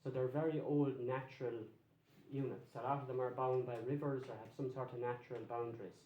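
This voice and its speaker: A man's voice: mid-range voice, steady tone, brisk, reverberant, muffled recording. A man with an Irish accent giving a public talk to a local history society, explaining things conversationally and checking in with his audience. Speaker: guest lecturer on place names. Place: meeting room with an audience.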